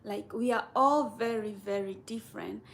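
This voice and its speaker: A woman from Kenya chatting casually on a live stream, rambling in an unhurried way and talking straight to her viewers. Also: mid-range voice, gravelly, slight background noise. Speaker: livestreamer.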